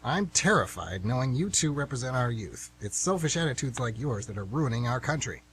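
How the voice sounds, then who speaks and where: A man playing an indignant, civic-minded older gentleman on jury duty in an amateur radio play, with a lecturing manner. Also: mid-range voice, big pitch swings, fairly quick, low-quality recording. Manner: lecturing, righteous. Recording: amateur radio, faint background hum.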